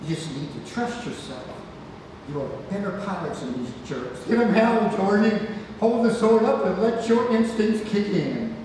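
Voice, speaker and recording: A man's voice: sits high, very animated, a little slow. Man playing a spirited grandfather, far off, echoey. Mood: urgent, rousing. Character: spirited old grandfather spinning adventure tales. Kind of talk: stage play table read. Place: indoor theatre, recorded from afar. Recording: roomy echoing hall, distant mic, noisy.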